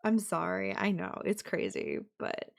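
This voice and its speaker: A woman playing a possessive, doting young yandere girlfriend in an intimate audio roleplay, speaking coyly and affectionately with lingering pauses. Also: medium pitch, fairly even intonation, gravelly. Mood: doting, wistful.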